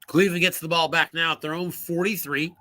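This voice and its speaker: A man calling solo play-by-play for a tabletop football game, brisk and rising on big plays, losing his voice and coughing now and then. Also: a little high, steady tone, sharp tone.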